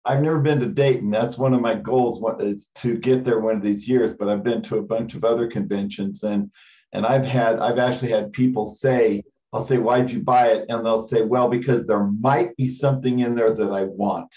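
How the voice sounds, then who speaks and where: A man's voice: mid-range voice, fairly even intonation, normal speed, warm tone. A man chatting casually and anecdotally on an online group call. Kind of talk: casual chat. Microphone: online conference call. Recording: dull band-limited call audio.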